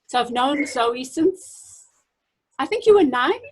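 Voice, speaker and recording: A woman's voice: high voice, animated, takes it slowly, breathy edge. A middle-aged woman interviews casually as host over a video call from home. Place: home room indoors.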